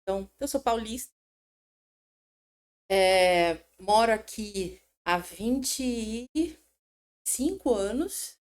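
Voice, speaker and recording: A woman's voice: medium pitch, takes it slowly, pauses often. Chatty middle-aged woman, podcast guest. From São Paulo, Brazil. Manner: animated, chatty, self-interrupting storyteller. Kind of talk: casual interview chat.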